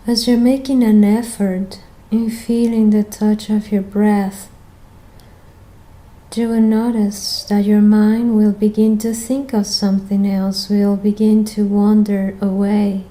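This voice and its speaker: A woman leading a guided meditation as the guide, speaking in a gentle, soothing manner with long pauses between phrases. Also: medium pitch, flat delivery, low-quality recording.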